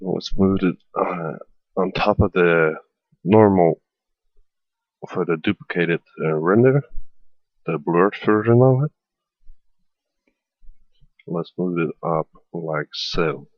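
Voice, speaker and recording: A man from the Netherlands with a Dutch accent, hesitantly narrating a software tutorial as a voiceover with many fillers. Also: low-pitched, slow pace, pauses often, dry recording, dull audio. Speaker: tutorial maker. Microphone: voiceover at a computer.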